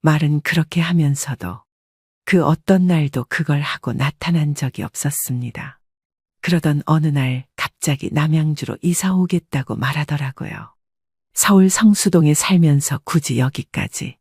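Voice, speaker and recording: An older woman with a husky voice reads a first-person confessional story as scripted narration. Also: deep voice, moderate pace, darkish tone, no room sound, clean studio sound.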